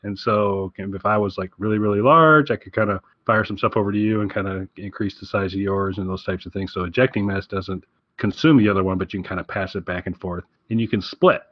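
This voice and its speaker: A man with a fairly low voice hosts a casual podcast. He talks in an enthusiastic, rambling way. The sound is a little roomy and muffled. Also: brisk. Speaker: podcast host. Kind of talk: casual recommendation chat.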